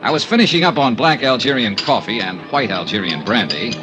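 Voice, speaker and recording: A male actor plays a wry American investigator from a vintage noir radio serial. His pitch swings freely as he wisecracks and flirts, sardonic and sure of himself. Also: animated, a little fast, music in the background, natural voice. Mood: brisk, dry. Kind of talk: 1950s noir radio acting and narration.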